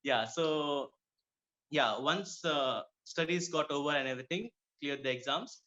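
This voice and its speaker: A man's voice: on the high side, measured pace, sharp tone. A man from Kuwait speaking conversationally as a dermatologist guest in an interview. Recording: dull lo-fi audio.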